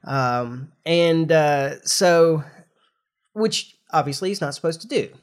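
A man's voice: a little high, expressive, takes it slowly. Nasal man chatting on a podcast. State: congested after flu. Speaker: podcast host. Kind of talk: casual chat, explaining news informally.

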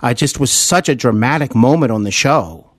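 A man hosting an interview podcast, speaking in an earnest, halting way with repeated words as he recalls things like a devoted fan. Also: mid-range voice, expressive, dry recording. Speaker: podcast host. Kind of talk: interview, enthusiastic fan recollection.

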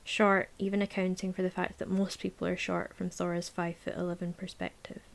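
A woman narrating a novel aloud in a measured, sometimes hushed voice. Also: on the low side, level tone, creaky. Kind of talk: audiobook narration, present tense.

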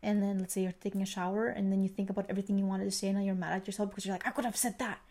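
A young woman with a slight Greek accent talks casually on a podcast. Her voice is strongly creaky and stays within a fairly flat range of pitch. She explains her thoughts in a rambling, reflective way. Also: racing. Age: young adult. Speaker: podcast co-host. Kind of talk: casual conversation, sharing work experiences.

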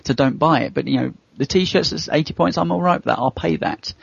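A man's voice: on the high side, a little fast, creaky, husky, no echo. A man hosting a casual gaming podcast, rambling through his opinions in a conversational way. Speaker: podcast host. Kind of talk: casual panel chat about gaming. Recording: dull lo-fi podcast audio.

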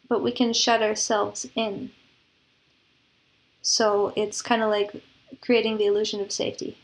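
A woman's voice: fairly high pitch, poor audio. A woman being interviewed, explaining things reflectively with examples and analogies. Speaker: interview guest, composer and audio drama producer.

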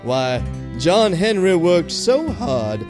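A folksy storyteller played by a man drawls out a tall tale in a rather high, very animated voice. The telling is colloquial and emphatic, with country guitar clearly behind it. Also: slow, heavily acted. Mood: folksy, relishing. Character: folksy robot storyteller spinning tall tales. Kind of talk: Southern-flavored folk tale narration.

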